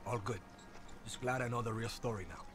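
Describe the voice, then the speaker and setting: A man's voice: medium pitch, brisk, over music, lightly acted. An actor playing a laid-back male guerrilla fighter in a video game, giving brief, easygoing replies. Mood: calm. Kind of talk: video game cutscene dialogue.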